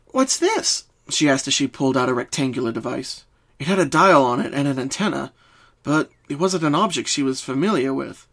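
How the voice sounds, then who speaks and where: A man's voice: on the high side, fairly bright tone. A man reading scripted story narration as a dramatic narrator.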